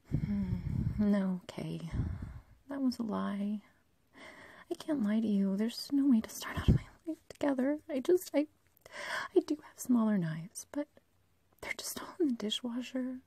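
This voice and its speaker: A woman's voice: medium pitch, warm tone, no echo, full character voice. A woman playing an obsessive, love-crazed young kidnapper in an ASMR roleplay, whispering close to the mic in a rambling, hesitant, sweetly menacing way. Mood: sheepish rambling. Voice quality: breathy, whispery. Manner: rambling, hesitant, cooing, giggly. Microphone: close ASMR microphone, dry.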